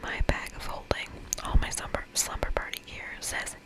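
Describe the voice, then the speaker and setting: A woman whispering softly as an ASMR host, reading a game aloud expressively. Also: normal speed. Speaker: ASMR host playing a visual novel game.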